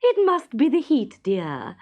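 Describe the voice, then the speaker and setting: A woman voicing a bossy, sharp-tempered storybook queen with an imperious, scolding manner. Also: high voice, huge pitch swings, measured pace, dull audio, voice pushed up, heavily acted. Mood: sweetly placating.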